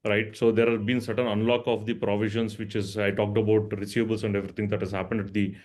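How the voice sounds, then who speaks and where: Low-voiced male finance executive. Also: flat delivery, fast talker, darkish tone. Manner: explanatory, often checks with "right?". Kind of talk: earnings call answers to analysts.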